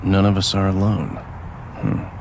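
A man playing an aloof, quietly determined young warrior in a video game, terse and restrained. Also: deep voice, little pitch movement, unhurried, background noise. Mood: resolute.

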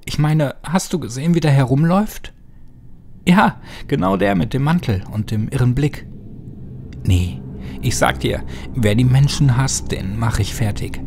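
A man's voice: medium pitch, unhurried, creaky, a little mellow, a little hiss or hum, voice pushed up. A man voicing a scornful, carefree teenage girl chatting on the phone in a horror audiobook. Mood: scornful, flippant. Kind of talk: horror audiobook character reading.

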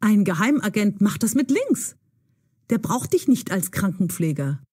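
An actress playing a bold, eager young woman in an audio drama for language learners, brisk, excitable and clearly enunciated. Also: mid-range voice, no echo, studio quality. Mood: excited.

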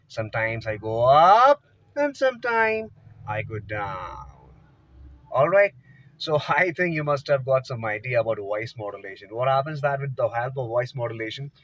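A man in his thirties with an Indian accent, giving an emphatic, informal English lesson into a phone inside a car. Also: mid-range voice. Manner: emphatic, demonstrative, swinging between soft and loud. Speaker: English teacher. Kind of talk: informal video lesson to camera. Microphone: phone at arm's length. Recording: dull lo-fi phone audio.

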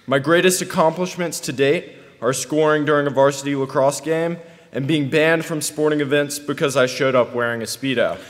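A young American man in his late teens delivering a prepared commencement speech in a deliberate, deadpan way. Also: a little high. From North Carolina, United States. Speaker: student graduation speaker. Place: podium on a stage in a large hall. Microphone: podium microphone. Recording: echoey large hall, long reverb.

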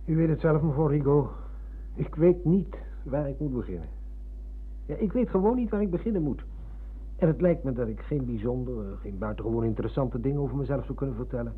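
An actor playing a modest, courteous and hesitant former pupil in a radio drama. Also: male voice, mid-range voice, expressive, brisk, slightly rough. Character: polite, diffident male former pupil on a visit. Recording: muffled, hissy old radio recording.

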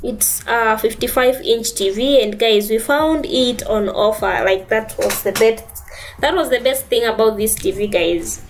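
Woman, Kenyan accent, casual chatty vlog, living room, phone mic, slightly high voice. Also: unhurried, soft background music. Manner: chatty, keeps saying "guys" and "yeah". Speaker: vlogger.

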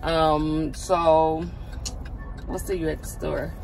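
A woman vlogger chatting casually to her viewers, pausing often with fillers. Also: low voice, level tone, gravelly, a little mellow, faint music behind, low-quality recording. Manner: halting, many filler pauses. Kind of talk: casual vlog talk. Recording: road noise behind. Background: car and traffic noise.

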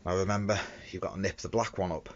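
A man's voice: low voice, steady tone, fast talker. A middle-aged man giving a casual hands-on how-to talk as a tradesman, recorded on a handheld phone in a small enclosed work space. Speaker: window cleaner demonstrating a fitting. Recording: dull phone recording with background noise.

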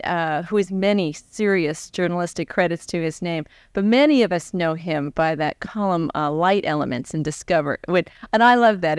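An American woman in her forties interviewing a guest on stage in a chatty, animated, conversational way. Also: lowish voice, smooth, no echo, clean studio sound. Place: on stage before a live audience. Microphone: large microphone on a stand, close.

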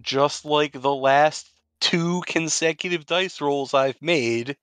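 A man's voice: a little high, slow pace, fairly bright tone, smooth. A man chatting casually as game master in a tabletop role-playing game.

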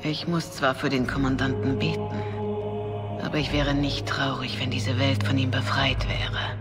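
Measured cleric woman in a reverberant hall. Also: very deep voice, flat delivery. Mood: grave, discreet. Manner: measured, formal. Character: calm, devout, quietly shrewd cleric woman of authority. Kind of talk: dubbed video game drama acting. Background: music.

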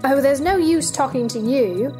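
A woman's voice: high voice, normal speed, over music, natural voice. A woman playing a polite, puzzled, inquisitive young girl in a storybook play, earnest and questioning. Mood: puzzled, exasperated.

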